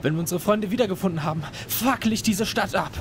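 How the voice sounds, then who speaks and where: A man's voice: high voice, fast, music in the background, lightly acted. A man voicing a young male student exploring a haunted town in an amateur audio drama, talking eagerly and urgently. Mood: determined. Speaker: voice actor in a fan audio drama. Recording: ambient noise bed behind voice.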